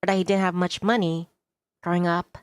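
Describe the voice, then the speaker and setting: A woman's voice: lowish voice, level tone, a little fast, smooth, no echo, studio quality. A woman streamer narrating a visual novel playthrough, reading the story and dialogue aloud expressively.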